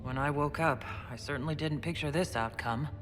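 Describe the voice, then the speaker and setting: A woman's voice: sits low, fairly quick, natural voice. A woman playing a wry, understated dwarf heroine in a fantasy game. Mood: bewildered. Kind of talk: dramatic game cutscene acting. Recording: long hall reverb, faint music behind.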